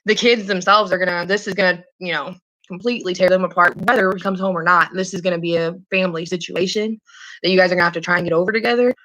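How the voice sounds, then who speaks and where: A woman's voice: on the low side, fairly even intonation, quick pace, a bit bright, low-quality recording. A woman in a casual, earnest phone conversation, rambling and hesitant, often repeating herself and swearing casually. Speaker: caller in a private phone call.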